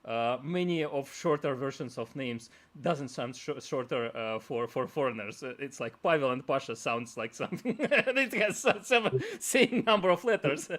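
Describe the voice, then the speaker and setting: A middle-aged man whose first language is Russian hosts a casual live stream. He rambles, repeats his words and laughs between phrases. His voice sits at an ordinary pitch. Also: animated, normal speed, hardly any pauses, a bit bright.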